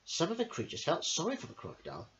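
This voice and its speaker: Male amateur storyteller for children's tales: sing-song, very animated intonation, mid pitch, dull lo-fi home recording. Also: quick pace, slight background noise. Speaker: amateur storyteller, channel host.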